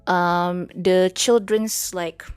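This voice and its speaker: A woman's voice: on the low side, fairly even intonation, drawn-out words, darkish tone, clean tone, dry recording, faint music behind. A young Malaysian woman with a Malaysian accent, chatting casually on a study stream, full of fillers, switching between English and Malay, sometimes reading her notes aloud. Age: young adult.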